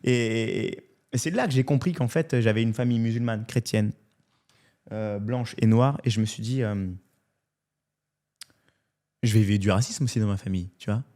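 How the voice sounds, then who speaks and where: A French man in his thirties, a comedian, telling his life story in a casual interview in an animated, candid way. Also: on the low side, normal speed, long pauses. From France. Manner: animated and candid, often adds "tu vois". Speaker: comedian guest.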